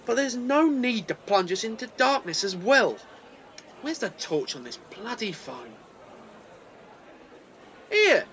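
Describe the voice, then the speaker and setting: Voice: medium pitch, very animated, takes it slowly, pauses often, a bit bright, a faint crowd, a little hiss or hum, heavily acted. An amateur actor with a British accent playing an indignant, sarcastic, accusing train passenger in a radio play. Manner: sarcastic, scolding. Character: indignant, accusing, no-nonsense London Tube passenger.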